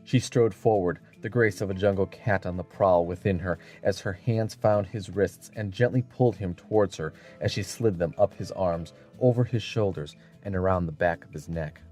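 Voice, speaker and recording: Very deep male storyteller over faint music. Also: normal speed, few pauses, dark tone. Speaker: audiobook narrator. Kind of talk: scripted dramatic story narration.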